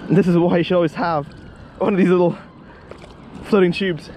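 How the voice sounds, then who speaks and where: A young man in his twenties, vlogging outdoors by a lake while fishing, talking excitedly and repeating himself as he reels in carp. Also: high-pitched, slight background noise. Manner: excitable, repeats himself, fast exclamations while reeling in fish. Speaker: angler vlogger.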